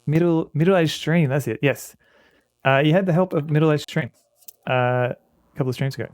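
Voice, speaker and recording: A man's voice: fairly high pitch, brisk, a little mellow, no room sound. Rambling male game streamer. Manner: rambling, frequent restarts and fillers. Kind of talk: casual chat with viewers while playing a game.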